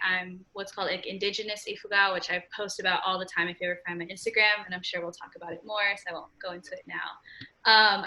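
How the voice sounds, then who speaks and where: A woman's voice: medium pitch, bright, forceful tone, rough recording. A woman in her twenties from California, a podcast guest in a casual interview, chatty and upbeat, often saying "like". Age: 20s. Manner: chatty, frequent "like" fillers, upbeat. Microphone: remote call.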